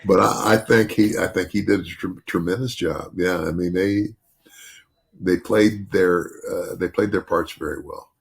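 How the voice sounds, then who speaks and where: An older man with a very low, gravelly bass voice talks on a podcast. He rambles, circling back with false starts and "you know" fillers. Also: steady tone, measured pace. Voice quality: deep bass voice. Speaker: podcast host, retired wrestler. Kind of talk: casual podcast chat.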